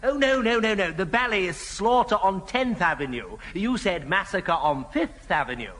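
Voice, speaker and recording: Prim, high-voiced flustered gentleman. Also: lively intonation, moderate pace. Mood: prim, fussy. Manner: prissy, polite, fussy, hesitant. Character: prim, timid, easily flustered ballet-loving gentleman. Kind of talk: 1970 radio sitcom acting. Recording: hissy old radio broadcast, reverberant theatre hall.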